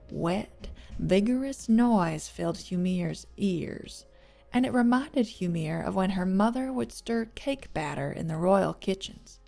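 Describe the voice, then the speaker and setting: A woman's voice: medium pitch, gravelly, a little mellow. A woman reading scripted fiction as a narrator.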